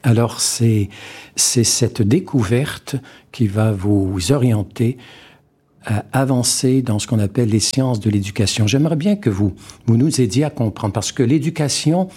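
Husky, dark-voiced male interviewer. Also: mid-range voice. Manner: slow, encouraging, frequent short acknowledgements. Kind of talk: radio interview.

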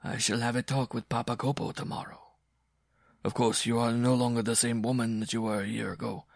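A man voicing a sympathetic male circus bareback rider who talks in a soothing, persuasive way with a gravelly husky voice. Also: mid-range voice, flat delivery, fairly quick, no room sound, studio quality, voice pushed up. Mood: concerned.

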